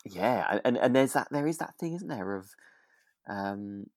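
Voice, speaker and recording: A man's voice: mid-range voice, animated, moderate pace, no room sound, studio quality. A British man co-hosting a casual chat podcast, phrasing his thoughts tentatively. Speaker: podcast co-host.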